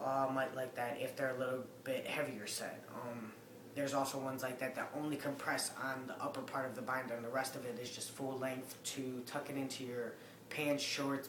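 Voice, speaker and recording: A young man with a raspy voice, rambling to a webcam in a casual update, with lots of ums. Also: medium pitch, echoey room, background noise. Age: young adult. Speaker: vlogger. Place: living room with a Christmas tree. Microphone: webcam at desk distance.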